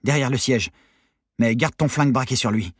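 A man voicing a tough, defiant young prison guard in an audiobook, with cutting, forceful delivery. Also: medium pitch, moderate pace, slightly rough, dry recording, studio quality, pitched above the performer's own voice, natural voice. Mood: urgent. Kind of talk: dramatic audiobook character voice.

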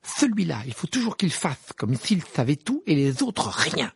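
A man's voice: a little high, very animated, moderate pace, gravelly, husky, no echo, studio quality, voice pushed up, heavily acted. A man voicing a hot-tempered teenage wizard boy who snaps back angrily. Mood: indignant. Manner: indignant, snapping.

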